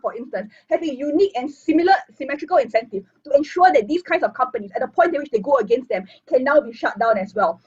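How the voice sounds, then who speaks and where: A woman's voice: high-pitched, slightly rough. A woman giving a fluent, structured competitive debate speech as opposition whip over a video call. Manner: fluent, structured argument, formal debate phrasing. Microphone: computer mic on a video call. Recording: muffled lo-fi online call audio.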